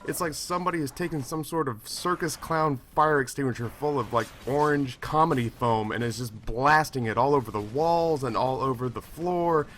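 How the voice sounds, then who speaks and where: A young man with an American accent and a medium-pitched voice tells a comic anecdote. His delivery is casual and conversational, with swearing and acted-out dialogue woven in. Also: moderate pace, hardly any pauses, a bit bright, slight background noise. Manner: casual, profane, acts out dialogue. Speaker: storyteller, video host. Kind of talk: animated comedic storytelling.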